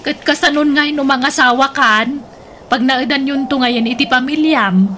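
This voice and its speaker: A woman's voice: high voice, measured pace, sharp tone, a little hiss or hum. A woman playing a suspicious, meddling aunt in an Ilocano radio drama, admonishing and questioning in an Ilocano Filipino accent. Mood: disapproving.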